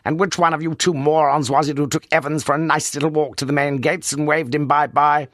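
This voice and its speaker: A man's voice: fairly high pitch, little pitch movement, measured pace, bright, forceful tone, no room sound, clean studio sound, voice pushed up. A man voicing a middle-aged prison governor who talks with scathing contempt and barks orders at his staff, in a storybook reading. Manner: scathing, commanding, barking orders.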